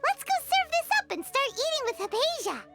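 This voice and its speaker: A tiny fairy girl companion from a video game, extremely high and squeaky. The delivery is perky and excitable, swinging widely in pitch. Music plays clearly behind the childlike voice. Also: brisk, exaggerated voice. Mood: excited. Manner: perky, expressive, speaks of herself in third person.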